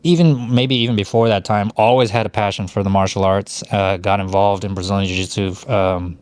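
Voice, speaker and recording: A man's voice: fairly low pitch, steady tone, fairly quick, darkish tone, clear, resonant tone, no room sound. A middle-aged man from Hawaii co-hosting a podcast, telling his life story in a rambling, casual way with many fillers and restarts. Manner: rambling, frequent fillers and self-corrections. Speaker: podcast co-host.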